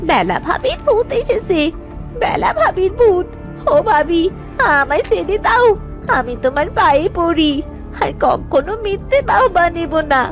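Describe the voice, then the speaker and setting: A terrified young servant girl in a cartoon. Her very high voice swoops about as she wails and pleads shrilly, over faint music. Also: very animated, unhurried, exaggerated voice. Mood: panicked. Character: frightened pleading young female house servant. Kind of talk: animated cartoon voice acting.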